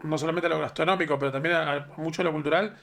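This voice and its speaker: Male host, gravelly, slightly high voice; relaxed, playful interviewer. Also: racing. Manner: relaxed, joking questions. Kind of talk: casual interview.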